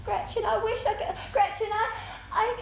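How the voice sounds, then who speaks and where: A woman's voice: extremely high pitch, fairly even intonation, moderate pace, vocal fry. A woman playing an earnest, naive, lovesick boy in a stage pantomime, with a hesitant manner. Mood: apologetic, pleading. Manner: hesitant, exasperated. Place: theatre stage before a live audience. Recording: echoey, very noisy theatre stage recording.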